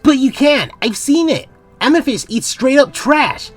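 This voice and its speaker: A woman's voice: high-pitched, unhurried, breathy edge, faint music behind, full character voice. A woman voicing an earnest, caring young male space captain with an emphatic boyish manner, in a fan comic dub. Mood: excited. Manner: emphatic, boyish, swinging from hushed to excited.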